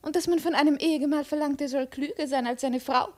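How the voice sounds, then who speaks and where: A high, imperious voice for a proud and mocking princess played by a woman. She speaks with deliberate, cold authority in the theatrical manner of 1950s radio drama. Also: fairly even intonation, darkish tone, close to an everyday voice. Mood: haughty, mocking. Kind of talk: 1950s German radio play acting.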